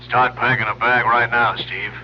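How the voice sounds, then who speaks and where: A man's voice: medium pitch, little pitch movement, normal speed, close to an everyday voice. A man plays a grave American law-enforcement chief in a 1970s radio drama. He speaks tersely and with command, on a dull recording with faint noise. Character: grave, businesslike male narcotics bureau chief. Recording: dull, noisy old radio broadcast.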